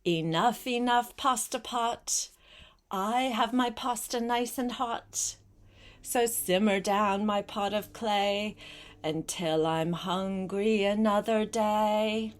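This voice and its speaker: A woman voicing a firm, kindly old village witch from a storybook, speaking in a deliberate, instructing way. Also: mid-range voice, close to an everyday voice. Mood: chanting.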